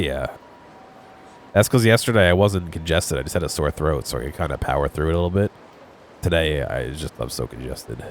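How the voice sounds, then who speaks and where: A man's voice: extremely low pitch, a little hiss or hum. A congested young man with a cold, chatting casually with viewers while playing a game. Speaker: gaming streamer. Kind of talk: casual let's play commentary.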